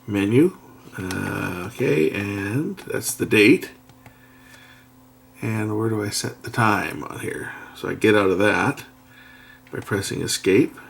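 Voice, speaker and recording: Gravelly low-voiced man demoing a gadget. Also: slight background noise. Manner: thinking aloud while pressing buttons, unhurried. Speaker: product reviewer. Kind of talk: casual hands-on product demo. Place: indoors at a workbench.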